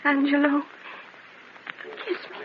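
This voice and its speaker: A woman's voice: sits high, fairly even intonation, slow. An actress playing a devoted, anguished woman in an old-time radio melodrama. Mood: dying, loving. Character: devoted, anguished Italian woman in tragic romance. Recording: muffled, noisy old radio recording.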